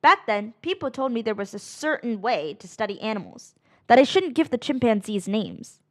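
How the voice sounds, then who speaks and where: A woman reading a children's picture book aloud in an animated, expressive way, drawing out phrases for dramatic emphasis. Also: a little high, moderate pace, no room sound, studio quality. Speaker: read-aloud narrator of children's books.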